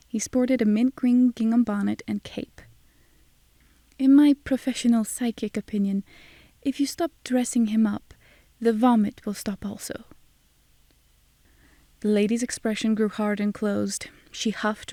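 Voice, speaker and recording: A woman's voice: mid-range voice, fairly even intonation, normal speed, creaky, warm tone, no room sound. A woman narrating a scripted first-person audio drama in a wry, sardonic manner.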